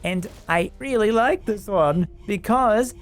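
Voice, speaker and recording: A man's voice: high voice, slow. A man delivering a casual, humorous scripted video game review voice-over, with joking, sarcastic asides. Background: background music.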